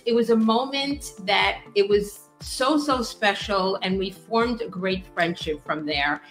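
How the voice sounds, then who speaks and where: A woman's voice: mid-range voice, a bit bright, rough recording. Effusive woman talk show host. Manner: gushing, effusive praise. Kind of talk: hosting an interview.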